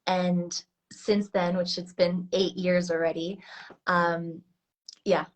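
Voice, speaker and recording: A woman in her thirties with an American accent speaks in a fairly low voice. She talks earnestly and casually as an interview guest, with frequent fillers and restarts. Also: little pitch movement, slow pace, rough recording. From Switzerland. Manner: earnest, many restarts and fillers, laughs often. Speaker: coach, guest.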